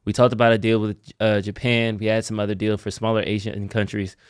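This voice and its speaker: A man co-hosting a live show in a relaxed, conversational way. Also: fairly low pitch, fairly quick, smooth, no echo, clean studio sound. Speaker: co-host.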